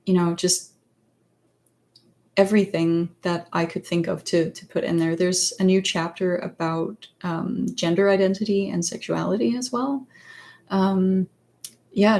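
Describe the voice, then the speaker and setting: A woman whose first language is Danish, chatting casually on a livestream as a psychologist and author. She pauses often and searches for words. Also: lowish voice, fairly even intonation, a little mellow. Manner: hesitant, many fillers and restarts, searches for words. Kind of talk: casual livestream chat answering viewer questions.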